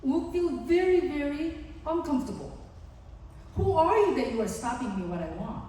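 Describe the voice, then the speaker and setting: Korean-accented woman, seminar speaker, earnest and unhurried, higher voice, distant reverberant hall with faint background hum. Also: very animated, warm tone. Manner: earnest, slow and explanatory, acts out examples. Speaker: psychologist giving a parenting seminar. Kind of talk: seminar talk to parents. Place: large conference hall.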